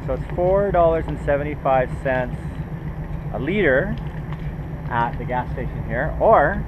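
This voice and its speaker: A man's voice: a little high, animated, measured pace, phone-quality sound, background noise. A middle-aged man talking casually to camera outdoors as a travel vlog host. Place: outdoors at a busy market and on a boat deck at anchor. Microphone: action camera at arm's length.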